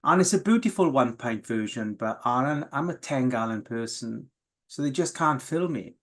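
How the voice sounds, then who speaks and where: A man from the South Wales valleys, a Welsh speaker first, talking openly and reflectively as an interview guest, with many pauses and restarts. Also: medium pitch, animated. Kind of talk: candid, emotional interview.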